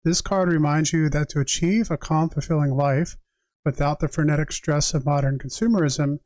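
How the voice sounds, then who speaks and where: A man with a moderately high voice, recorded very dry without room sound. He works as a tarot reader on a livestream, shifting between reading passages aloud and casual, reflective chat addressed straight to his viewers. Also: fairly even intonation, normal speed, darkish tone, no room sound. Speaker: tarot reader and live streamer.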